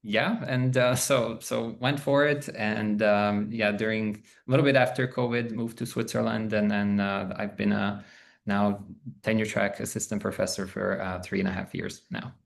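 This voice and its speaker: A man in his thirties, from Canada, speaking as a professor on an online alumni panel, halting and laughing between thoughts. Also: on the low side, fairly even intonation, creaky. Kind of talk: casual panel talk. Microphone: video call.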